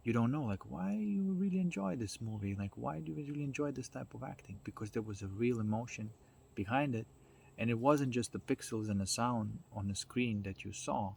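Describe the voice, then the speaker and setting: A man giving an informal explanatory monologue, haltingly searching for his words as he goes. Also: medium pitch, lively intonation, gravelly, darkish tone, a little hiss or hum. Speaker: speaker on self-development.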